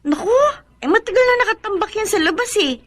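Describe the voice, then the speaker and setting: A woman acting in a radio drama as a kind, helpful young older sister, gentle and encouraging. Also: very high-pitched, animated, quick pace. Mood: hesitant.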